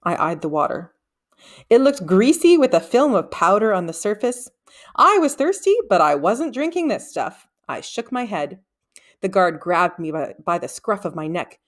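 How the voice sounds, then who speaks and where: A woman reading a storybook aloud in expressive narration. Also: medium pitch, moderate pace. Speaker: audiobook reader.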